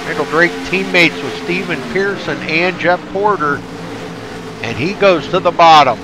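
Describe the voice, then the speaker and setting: Stuffed-up, excitable race commentator man. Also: a little high, unhurried, background noise. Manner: excitable, folksy play-by-play. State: stuffed up from allergies. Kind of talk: live race play-by-play commentary.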